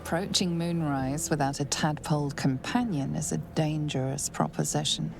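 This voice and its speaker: A woman acting as a grave, ominous storyteller, deliberately narrating a dark fantasy game. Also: sits low, fairly even intonation, gravelly. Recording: music bed behind the voice.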